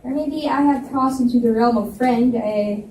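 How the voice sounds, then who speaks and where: Woman, live novel reading, expressive narration, higher pitch, faint hiss. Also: moderate pace. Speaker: reader and narrator. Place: library event space. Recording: roomy, distant mic with faint hiss.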